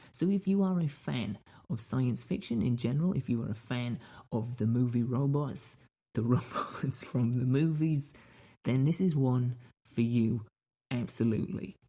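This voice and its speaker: Mellow, husky man hosting a podcast. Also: medium pitch. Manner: playful rhetorical questions and repetition. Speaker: podcast host. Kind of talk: casual chatty podcast monologue.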